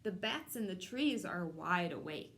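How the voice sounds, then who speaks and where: A woman hosting a children's storytime, reading a picture book aloud in simple, measured phrases addressed to young children. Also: lowish voice, animated, fairly bright tone. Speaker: children's storytime host at a public library.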